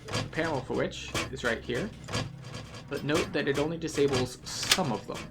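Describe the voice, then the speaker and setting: Creaky-voiced man guiding a game walkthrough. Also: medium pitch, normal speed, over loud music. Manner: methodical, explanatory. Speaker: game guide host. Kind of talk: guided video game walkthrough commentary.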